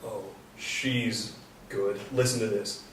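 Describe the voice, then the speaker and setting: A man's voice: lowish voice, steady tone, takes it slowly. A man playing a sensitive, earnest carpenter in a live reading of a stage play. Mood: reverent. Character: Thomas, sensitive, earnest male carpenter, defends others. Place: echoey indoor stage or hall. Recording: very echoey room, distant mic, faint hiss.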